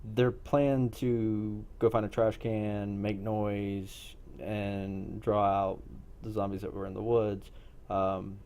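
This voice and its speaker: A man speaking as a game master, explaining a role-play session in a deliberate, measured way. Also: lowish voice, darkish tone.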